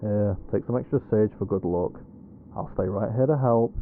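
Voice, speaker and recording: A man's voice: lowish voice, moderate pace, phone-quality sound, slight background noise, natural voice. A man playing a cocky, defensive ghost hunter in an audio roleplay, talking in a wry, sweary, conversational way. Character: Reggie, cocky defensive male ghost hunter, quick with excuses.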